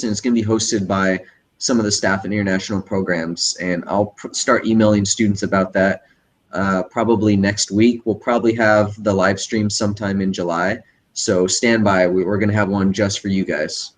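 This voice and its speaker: A man moderating a livestream Q&A, reading out student questions in a measured way. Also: lowish voice, fairly even intonation. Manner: measured, reads questions aloud slowly. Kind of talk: casual livestream Q&A.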